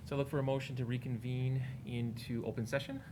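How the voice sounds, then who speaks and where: A man chairing a school board meeting, moving briskly through motions and votes with light asides. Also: mid-range voice, flat delivery. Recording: noisy room audio.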